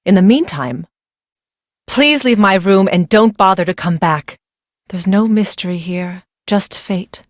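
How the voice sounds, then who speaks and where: Mystery game role: secretive, ailing young wife, female actor, irritable, brooding, medium pitch, very dry studio sound. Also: lively intonation, unhurried, no echo, lightly acted. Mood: cold, resigned. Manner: guarded, brooding, dramatic. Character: secretive, fatalistic young woman, unwell and irritable. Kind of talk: scripted video game character dialogue.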